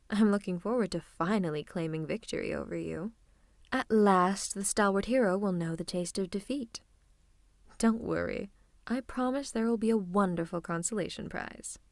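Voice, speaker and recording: A woman's voice: medium pitch, darkish tone, dry recording, clean studio sound, lightly acted. A woman playing a playful female supervillain, affectionate and teasing with theatrical menace, in an ASMR roleplay. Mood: sultry, teasing. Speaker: voice actor. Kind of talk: ASMR roleplay acting, one-sided conversation.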